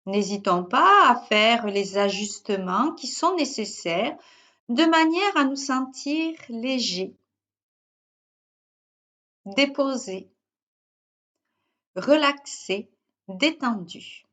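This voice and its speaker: Very slow-paced woman guiding relaxation. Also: on the high side, lively intonation, bright, forceful tone. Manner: measured, instructional phrasing with pauses between short phrases. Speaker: yoga teacher guiding a relaxation session. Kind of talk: guided yoga nidra meditation.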